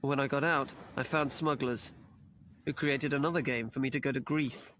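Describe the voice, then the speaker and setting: A man telling his story for a charity video, talking quietly and sadly. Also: medium pitch, steady tone, moderate pace, a little hiss or hum. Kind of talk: subdued personal testimony. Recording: dry clip played from a video.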